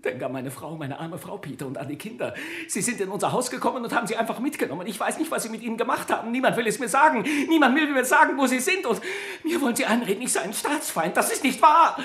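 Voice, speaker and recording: A man's voice: very high-pitched, lively intonation, quick pace, few pauses, bright, forceful tone, heavily acted. An actor playing a frightened male prisoner in a radio drama, imploring with a tearful, breaking voice. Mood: desperate, pleading. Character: desperate, pleading male prisoner, frightened family man. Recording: echoey interrogation room sound.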